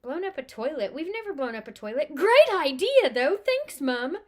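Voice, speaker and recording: A cheeky, excitable teenage boy, one of a pair of twins, as a woman reads him aloud. He exclaims loudly and teases with mock surprise, jumping up high as he goes. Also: moderate pace. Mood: gleeful cheek.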